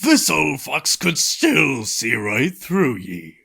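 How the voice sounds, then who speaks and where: Gravelly old pirate fox. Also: male voice, mid-range voice, huge pitch swings, slow pace, sharp tone, full character voice. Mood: menacing, theatrical. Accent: stage pirate. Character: menacing old pirate fox animatronic, grimly theatrical. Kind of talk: video game character voice lines.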